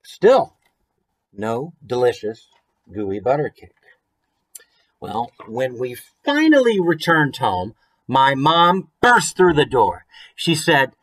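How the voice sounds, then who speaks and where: Animated American man doing story time. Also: mid-range voice, takes it slowly, long pauses, breathy edge, low-quality recording. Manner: expressive, asks listeners questions. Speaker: children's author reading own book to viewers. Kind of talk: animated children's story time reading with chatty asides. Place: small room at home, indoors.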